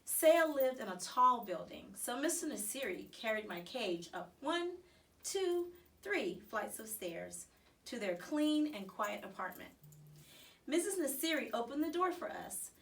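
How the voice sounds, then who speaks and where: A woman reading a children's storybook aloud in an expressive, narrated style. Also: fairly high pitch, a little slow, recorded from a distance. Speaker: storybook reader.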